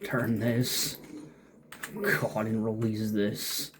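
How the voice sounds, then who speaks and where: Male game streamer, casual and exasperated, unhurried delivery, faint noise and key clicks. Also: fairly low pitch, flat delivery. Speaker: streamer playing a story game. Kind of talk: casual gameplay reactions. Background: keyboard and mouse clicks.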